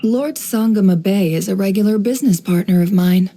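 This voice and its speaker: An actress playing a shrewd, worldly woman who runs an intelligence business in a video game, speaking in a composed, wry, measured way. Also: mid-range voice, mellow, lightly acted. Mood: dry, businesslike. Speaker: video game character voice actor. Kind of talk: scripted game character voice lines, monologue.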